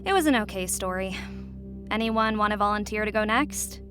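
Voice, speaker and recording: A woman's voice: medium pitch, moderate pace, natural voice. An actress playing a sulky, dismissive young woman in audio drama dialogue, grudging in manner, over music. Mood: unimpressed. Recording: music under the voice.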